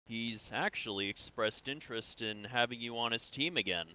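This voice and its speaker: A man playing a calm, businesslike sci-fi military interrogator in an improvised game roleplay, questioning in a measured, conversational way. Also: medium pitch. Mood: firm, reassuring. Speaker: player in an online roleplay.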